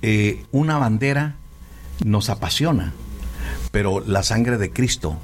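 Host guy with a lowish voice, encouraging, affirming his guest. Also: animated, slow, slight background noise.

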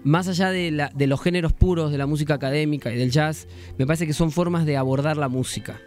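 A man's voice: a little high, steady tone, fast, darkish tone, faint music behind. A man from Argentina, a musician guest on a radio show, talking reflectively and searching for words as he goes. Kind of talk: radio interview.

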